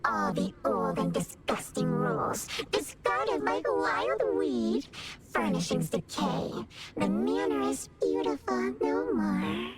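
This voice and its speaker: A solemn, eerie servant devoted to her master in an anime game. She speaks slowly and ominously, with wide swings in pitch, over loud music. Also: huge pitch swings, heavily acted. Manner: slow, ominous, deliberate. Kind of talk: dramatic anime game storytelling.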